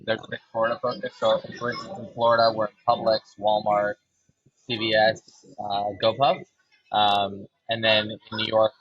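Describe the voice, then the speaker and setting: A man from Los Angeles, a brand co-founder, talking casually in a podcast interview and pausing on ums and restarts. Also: fairly low pitch, husky, no echo, muffled recording. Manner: relaxed, fills with ums and restarts.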